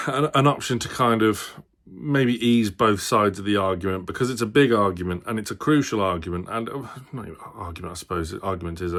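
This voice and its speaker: A man's voice: lowish voice, fairly bright tone. A man presenting on a football fan channel, talking casually to viewers and thinking aloud as he gives his opinion. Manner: hesitant, thinking aloud, hedging.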